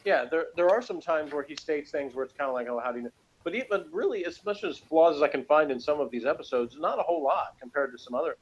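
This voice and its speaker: A man's voice: a little high, animated, fast, highs cut off, a little hiss or hum. A man in a casual debate about a TV episode, arguing his case point by point. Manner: argumentative, reasoning point by point. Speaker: fan commentator.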